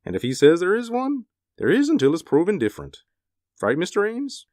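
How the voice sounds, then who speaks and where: A man's voice: sits high, wildly swooping pitch, a little fast, darkish tone, no echo, clean studio sound. An actor playing a brusque, pushy city policeman in a 1940s radio drama. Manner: gruff and pushy. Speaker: police officer.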